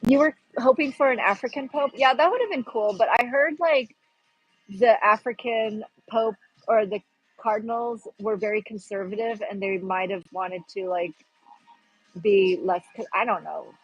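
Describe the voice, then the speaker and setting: A young woman with a Pacific Northwest American accent chats casually to her livestream viewers. Her voice is airy and a little breathy, and she wanders between thoughts while she hunts for the right words. Soft music plays faintly in the background. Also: mid-range voice, normal speed, highs cut off. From Pacific Northwest, United States. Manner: rambling, chatty, searching for words. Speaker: livestream host.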